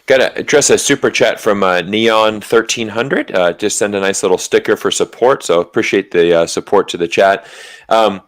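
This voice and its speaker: A man's voice: fairly low pitch, normal speed. A man from Florida with an American accent, chatting casually as a livestream co-host, emphatic and repeating words for stress. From Florida, United States. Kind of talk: casual livestream political chat.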